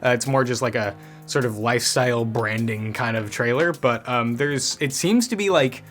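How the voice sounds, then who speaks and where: A man's voice: medium pitch, normal speed, fairly bright tone, faint music behind. A man hosting a gaming news show in a casual, conversational way, dropping wry asides as he goes.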